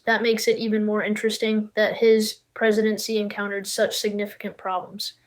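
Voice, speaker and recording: A woman's voice: medium pitch, completely flat delivery, fairly quick. A woman co-hosting a scripted history podcast, asking prompting questions and summing up points. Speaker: co-host.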